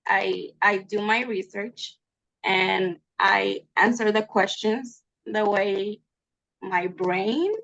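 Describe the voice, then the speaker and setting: A native Spanish-speaking woman with a Spanish accent, chatting casually in an online class. She speaks slowly with plenty of creak, over slightly dull call audio. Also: mid-range voice, fairly even intonation, a bit bright, no echo. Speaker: student. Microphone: video call mic.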